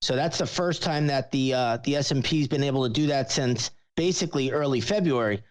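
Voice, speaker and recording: A man's voice: mid-range voice, brisk. A man speaking as an analyst on a show, giving conversational, explanatory commentary. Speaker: market analyst, regular guest.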